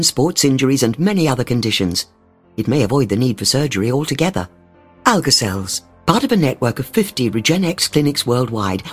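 Breathy male ad voice over music. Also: mid-range voice. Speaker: ad announcer. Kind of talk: scripted radio ad read.

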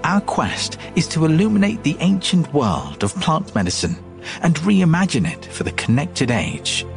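A man reading a scripted promotional narration in a smooth, earnest delivery. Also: fairly high pitch, huge pitch swings, normal speed, soft background music. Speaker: promo voice-over.

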